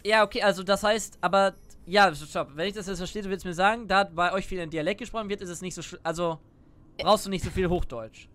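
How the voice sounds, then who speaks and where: A man's voice: sits high, fairly even intonation, fast talker, a bit bright. A young man in his twenties with a headset, streaming a game at home, chatting casually and asking questions as he calls out plays. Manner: chatty, asks questions while calling plays. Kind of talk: casual gaming chat. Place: home room at a desk.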